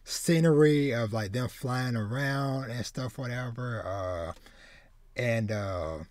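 A man in his thirties with a medium-pitched voice chats casually as a podcast host. He rambles in an animated way, often doubling back on words. The sound is close and dry. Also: slow, dry recording. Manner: animated, rambling, repeats words while searching for phrasing. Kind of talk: casual chat, retelling a film plot. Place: home room with bookshelves, indoors.

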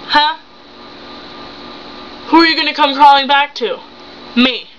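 A seventeen-year-old young woman acting a betrayed, hurt and angry wife and mother in an improvised dramatic monologue, accusing with halting, emphatic phrases. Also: sits high, sharp tone. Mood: hurt, pleading. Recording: muffled home recording with hum.

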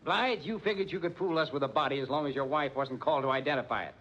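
An actor playing a gruff, sarcastic police chief in a 1940s radio crime drama. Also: male voice, on the high side, fast, fairly bright tone, dull audio. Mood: firm, accusing. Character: brusque, wry male police chief in command.

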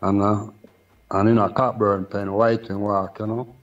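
A man's voice: fairly low pitch, little pitch movement, a little slow. Older male guest, Jamaican accent, Rastafarian priest, casual and reverent, distant, slightly muffled recording. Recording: distant, slightly dull remote sound.

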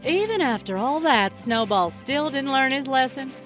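Lady doing a tough big snow woman, bossy, lively tone, music low behind. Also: normal speed. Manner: blunt, bossy, folksy.

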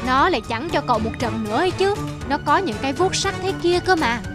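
A performer voicing a stern big bear who judges the forest in an animated fable, commanding and scolding. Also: sits high, quick pace, over music. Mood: authoritative.

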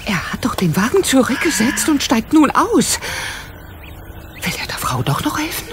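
Over clear background noise, an anxious, easily scared woman in a radio play. Her voice is fairly high and swings widely, with a jittery, dramatic delivery. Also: big pitch swings, moderate pace. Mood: alarmed. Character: easily frightened, anxious woman, amateur sleuth's companion.

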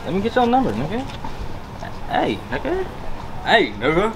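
A man's voice: sits high, huge pitch swings, normal speed. A young man in slangy, repetitive, pushy street chat as a prank interviewer. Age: young adult. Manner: slangy, pushy, repeats himself. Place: outdoors on a college campus. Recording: clear outdoor street noise.

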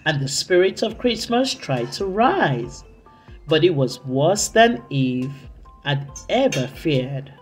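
A woman reading a children's story aloud in an expressive, dramatic, deliberate way. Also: low voice. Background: soft music.

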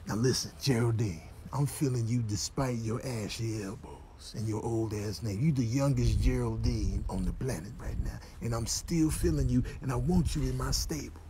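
A man's voice: medium pitch, moderate pace, hardly any pauses, warm tone, a little hiss or hum, lightly acted. A man voicing a boastful, insistent male gorilla flirting in a comic animal voiceover. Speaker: comedian doing animal voiceovers. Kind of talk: comic improvised voiceover of video clips.

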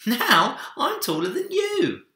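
A man voicing an eager, brave, affectionate little boy in a storybook, high-pitched and emphatic. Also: huge pitch swings, slow, pushed and bright, full character voice. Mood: playful, boastful. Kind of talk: children's storybook read-aloud. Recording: roomy echo.

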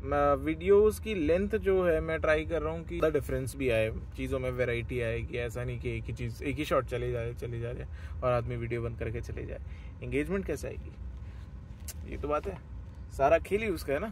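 A man's voice: mid-range voice, a little fast, slight background noise. A young man, a travel vlogger, talking casually to the camera he holds at arm's length, rambling and slipping English phrases into his speech. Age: young adult. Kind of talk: casual vlog talk to camera. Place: inside a car on a road trip; hotel room, indoors. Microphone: camera held at arm's length.

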